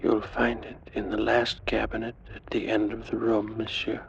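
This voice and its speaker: An actor playing a mysterious, aloof museum attendant in a 1940s radio drama, speaking in a hushed, curt and formally polite way. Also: male voice, on the low side, completely flat delivery, moderate pace, creaky, a little hiss or hum. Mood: guarded, evasive. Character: mysterious, aloof male Egyptian museum attendant posing as French. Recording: muffled vintage radio recording.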